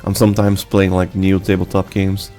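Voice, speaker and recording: A young adult man whose first language is Portuguese, speaking English with a Brazilian accent and giving a casual, halting channel update full of fillers and restarts. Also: sits low, monotone, darkish tone, faint music behind. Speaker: channel host.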